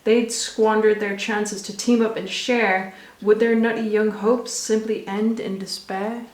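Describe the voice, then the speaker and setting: A woman reading a rhyming children's story aloud with expressive, rhythmic delivery. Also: medium pitch, unhurried. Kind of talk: scripted storybook narration for children. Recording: echoey room.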